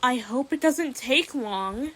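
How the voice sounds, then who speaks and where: A woman's voice: sits high, expressive, takes it slowly, fairly bright tone, breathy edge, full character voice. A woman playing a contemptuous, demanding mother cat in an amateur audio drama. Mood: withering, impatient. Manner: scornful, demanding. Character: contemptuous, fussy nursing mother she-cat.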